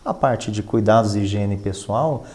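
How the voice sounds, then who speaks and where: Mellow, low-voiced man in interview. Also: normal speed. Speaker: finance professional and professor, interview guest. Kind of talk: conversational interview answers.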